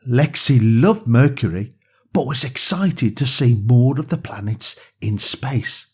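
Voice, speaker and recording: Unhurried, husky bedtime storyteller. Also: medium pitch, expressive. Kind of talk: scripted bedtime story narration for children.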